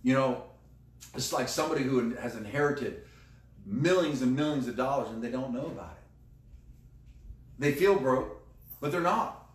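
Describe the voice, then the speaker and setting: A man's voice: mid-range voice, expressive, normal speed, long pauses. A middle-aged American man preaching a conversational sermon as a pastor, emphatic and repeating key phrases. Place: indoor room beside a screen. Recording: roomy echo, distant microphone.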